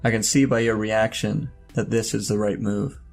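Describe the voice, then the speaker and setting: An actor playing an enigmatic, commanding older robed man in an audio drama, with a gravelly voice and a solemn, cryptic, measured delivery. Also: on the low side, steady tone, faint music behind. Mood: cryptic, assured.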